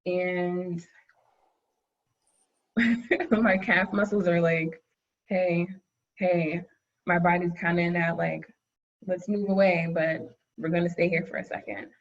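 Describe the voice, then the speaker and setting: A woman co-teaching a webinar, chatting casually over a video call, chatty and quick to laugh. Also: on the low side, steady tone, muffled recording. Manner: chatty, laughs often, says "like" a lot. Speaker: course co-teacher.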